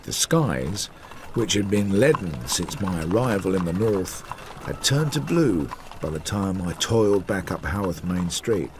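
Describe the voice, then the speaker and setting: Confiding detective narrator, radio play. Measured, low register, faint noise behind. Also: male voice, very animated. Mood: reflective. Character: reflective male investigator narrating his inquiry, working man.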